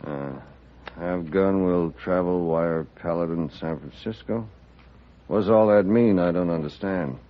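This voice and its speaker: Blunt, cocky frontier boss. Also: male voice, low-pitched, measured pace. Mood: casual, folksy. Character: gruff, cocky, scornful frontier railroad boss. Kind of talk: 1950s Western radio drama acting. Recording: muffled vintage radio recording.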